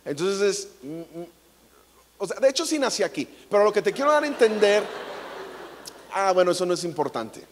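A man's voice: sits high, big pitch swings, normal speed, long pauses, a little hiss or hum. A man in his thirties with a Mexican accent, preaching on a large theater stage into a handheld mic in a joking, playful way. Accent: Mexican Spanish. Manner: playful, jokey, acts out quotes. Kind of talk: comedic stage sermon. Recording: long hall reverb.

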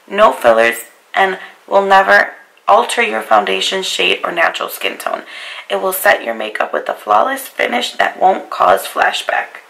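Hoarse, raspy-voiced young woman vlogger. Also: mid-range voice, a bit bright, rough recording. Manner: chatty, apologetic about her voice. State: hoarse from a cold. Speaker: beauty vlogger. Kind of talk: casual makeup review. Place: bedroom vanity, indoors.

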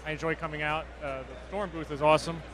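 A pro shop owner being interviewed on a busy trade show floor, quick and enthusiastic. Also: male voice, on the high side, little pitch movement, noisy background.

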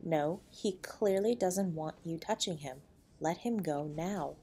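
A woman playing a protective, loving wife in an intimate audio roleplay, with a breathy voice. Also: deep voice, measured pace, warm tone, heavily acted. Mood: firm, indignant. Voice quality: breathy, often dropping to a whisper. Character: protective loving wife comforting and defending her husband.

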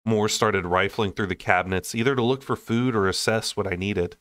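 A young man reads a story aloud. His voice sits a little low. He narrates expressively in audiobook style, and the recording is dry. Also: fairly quick, a bit bright, dry recording. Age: young adult. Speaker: storyteller reading a novel aloud.

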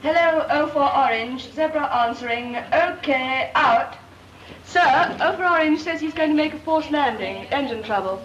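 An actress playing a young wartime airfield radio operator, speaking in crisp formal radio procedure. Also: female voice, high voice, normal speed, fairly bright tone, distant mic, slight background noise. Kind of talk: 1940s British film acting. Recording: echoey lo-fi 1940s film sound.

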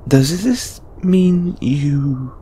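A man playing a shy, anxious, lovelorn young lamia flatmate in an intimate audio roleplay, speaking hesitantly with stammers and sighs. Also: a little high, very slow, a little hiss or hum. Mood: startled, flustered.

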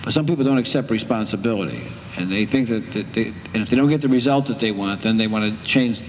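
An older man presiding as a judge in a courtroom, speaking in a measured, stern, lecturing way. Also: on the low side. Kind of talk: courtroom proceedings. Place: municipal courtroom. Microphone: bench microphone. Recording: reverberant courtroom, background noise.

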